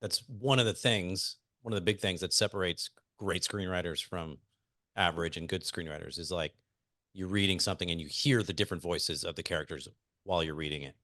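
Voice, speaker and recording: A man's voice: low-pitched, brisk, bright, forceful tone, dry recording, clean studio sound. A man hosting a podcast interview, easygoing and laughing often as he steers the conversation with questions. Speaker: podcast host.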